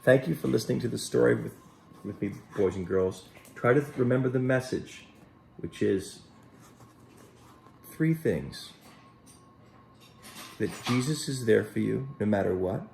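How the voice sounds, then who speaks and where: Man, gravelly low voice, mellow tone, gentle children's storyteller, unhurried, audible background noise. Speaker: Sunday school storyteller and teacher. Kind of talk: read-aloud children's Bible story with asides.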